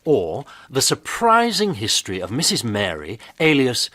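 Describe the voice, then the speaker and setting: A man's voice: medium pitch, wildly swooping pitch, normal speed, bright, forceful tone, no echo, full character voice. A man acting in a radio drama as an eighteenth-century author, formally declaiming his own pamphlet.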